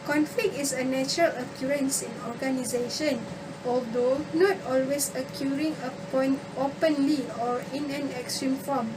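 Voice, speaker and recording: A woman in her twenties from Malaysia, reading a class presentation aloud in a steady way. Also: sits high, moderate pace. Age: 20s. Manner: steady, read-aloud delivery. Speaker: student presenter. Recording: distant mic with noise.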